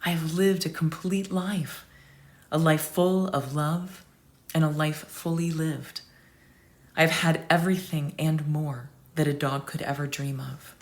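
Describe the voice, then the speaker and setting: A loving aging family dog, gentle and devoted, tells her own story, read aloud by a woman. The voice sits low and moves softly and slowly, as in a storybook. Tender throughout, never acted as a creature. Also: steady tone. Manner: soft, tender, slow. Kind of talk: storytelling reading.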